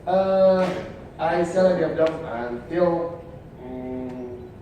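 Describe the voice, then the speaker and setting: A man with an Indonesian accent, a native Indonesian speaker, talking haltingly with many fillers as a panelist. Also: a little high, mellow, lo-fi sound. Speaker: panelist, inventor. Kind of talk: panel talk. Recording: echoey hall, distant mic, noisy.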